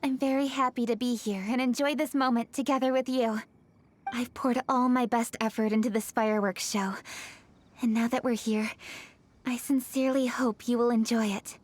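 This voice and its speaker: A woman playing an earnest, poised young woman of high office in a video game, speaking politely and sincerely in measured, articulate phrases. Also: fairly even intonation, close to an everyday voice. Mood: warm, sincere.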